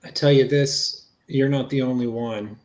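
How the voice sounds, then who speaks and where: A man's voice: medium pitch, steady tone, measured pace, darkish tone, close to an everyday voice, low-quality recording. A man reading the part of a reassuring, plain-spoken investigator in a conversational dramatic reading of a police interview.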